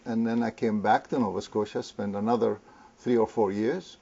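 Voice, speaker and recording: An older man from Lebanon, a guest in an interview, talking hesitantly with many fillers and pauses. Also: fairly low pitch, steady tone, creaky, dark tone. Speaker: interview guest, community founder. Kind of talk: conversational interview. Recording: muffled lo-fi recording.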